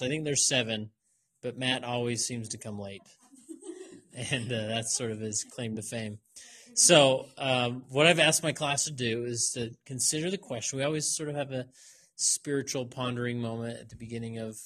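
A man's voice: mid-range voice, pushed and bright. A man hosting a casual podcast from his college classroom, joking and laughing often as he questions his students. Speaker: podcast host and college instructor.

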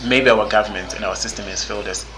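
Blunt low-voiced Zimbabwean man. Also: fairly even intonation, quick pace, music in the background, low-quality recording. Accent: Zimbabwean English. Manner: blunt, outspoken; many fillers and restarts. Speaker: podcast guest. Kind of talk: candid interview conversation.

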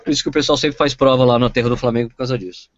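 A man's voice: mid-range voice, steady tone, rapid-fire, no echo. A man in casual chat who tells jokes and anecdotes with frequent laughter. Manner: joking, laughing storyteller. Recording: dull lo-fi sound.

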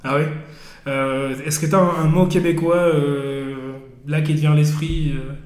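A man's voice: fairly high pitch, fairly even intonation, a little slow, darkish tone. A man hosting a casual interview and asking questions. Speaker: podcast host, interviewer. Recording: echoey room.

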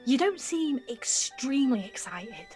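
A young woman acting an excitable, mouthy and insecure nineteen-year-old girl in an audio drama, with slangy, blurting speech. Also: sits high, a little slow, music in the background. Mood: excited.

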